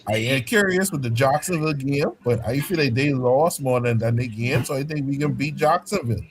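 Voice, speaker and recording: A man talking football as a fan guest over a call, speaking in an ordinary middle pitch. He sounds sure of himself and dismissive of the host's doubts, with clear crowd noise behind him. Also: brisk, poor audio. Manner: confident, dismissive. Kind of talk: casual sports debate.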